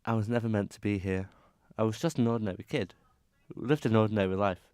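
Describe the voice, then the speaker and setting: A man's voice: lowish voice, fast, a little mellow, clear, resonant tone, no echo, clean studio sound, lightly acted. A man playing a guarded young circus singer with a secret, speaking earnestly in an amateur radio drama. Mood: confiding, sad.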